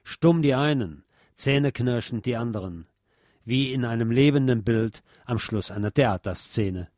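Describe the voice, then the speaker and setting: A man reading a literary text aloud with expressive, emphatic delivery. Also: mid-range voice, measured pace.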